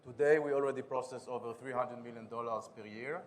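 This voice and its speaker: A man with an Israeli accent pitches his startup on a conference stage. He talks quickly and in a businesslike way, sometimes restarting a word. His pitch sits in the middle range for a man. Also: fairly even intonation, a little hiss or hum. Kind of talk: conference pitch and product demo.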